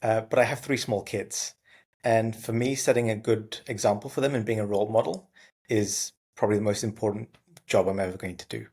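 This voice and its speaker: A man in his thirties hosting an interview podcast, polite and measured, with "um" fillers. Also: lowish voice, steady tone, darkish tone, slightly rough. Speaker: podcast host.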